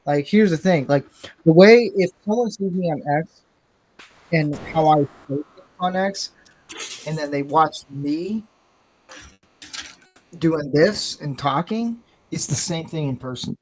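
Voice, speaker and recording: A man's voice: a little high, a little slow, long pauses, a little mellow, a little hiss or hum, low-quality recording. A man hosting a casual live podcast, talking bluntly and rambling with self-mocking humor. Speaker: podcast host. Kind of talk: casual live-stream chat.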